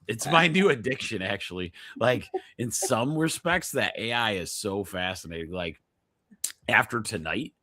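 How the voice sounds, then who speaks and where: A man's voice: lowish voice, lively intonation, normal speed, sharp tone, no room sound. A man hosting a podcast as a chiropractor, chatting casually with a guest, laughing easily and using lots of fillers. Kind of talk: casual interview chat.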